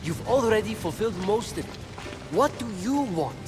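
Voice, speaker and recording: A man's voice: sits high, expressive, moderate pace. A man playing a brash, prickly god who goads others on in a video game. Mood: goading. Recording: clear music behind the voice.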